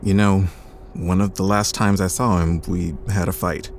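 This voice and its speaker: A gruff, blunt lab doctor. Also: male voice, low voice, flat delivery, moderate pace, a little hiss or hum. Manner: blunt, dry, matter-of-fact. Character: blunt middle-aged male lab doctor, gruff but caring. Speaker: scientist character.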